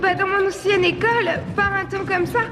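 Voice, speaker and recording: Cartoon schoolgirl: extremely high, anxious, prim little voice over music. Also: steady tone, brisk, big-room sound. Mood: worried. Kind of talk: French cartoon dubbing. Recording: music playing behind the voice.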